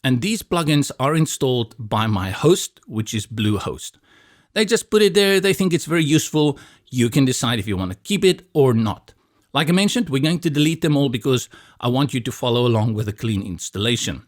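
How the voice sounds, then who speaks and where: A man from South Africa, Afrikaans his first language, with a South African accent, calmly talking through a software tutorial step by step with a bit of light humor. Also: medium pitch, a little fast. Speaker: tutorial instructor. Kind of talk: screen-recorded software tutorial.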